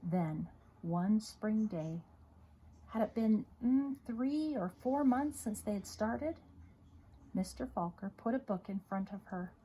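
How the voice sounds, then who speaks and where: A woman with a husky voice reading a children's story aloud in an expressive, dramatic way. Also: mid-range voice, slow pace, rough recording. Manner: dramatic, with emphatic repetition and hushed moments. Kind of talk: expressive read-aloud narration.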